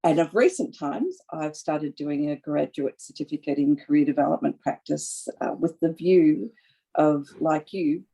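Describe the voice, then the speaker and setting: A woman facilitating an online panel webinar, speaking in a measured way with some hesitations. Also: low-pitched, creaky, warm tone, breathy edge. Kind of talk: panel moderation. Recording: dull lo-fi audio.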